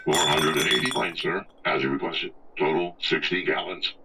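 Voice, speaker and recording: Very deep robot servant. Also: moderate pace. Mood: formal, deadpan. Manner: formal, deferential, deadpan. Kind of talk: old-time radio parody acting. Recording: distant, very noisy.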